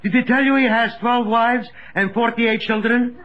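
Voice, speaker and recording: A man's voice: extremely high pitch, steady tone, moderate pace, a bit bright. A man playing a mocking tavern sidekick in a 1950s radio comedy. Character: mocking, deadpan tavern sidekick puncturing a schemer. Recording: dull, hissy 1950s radio recording.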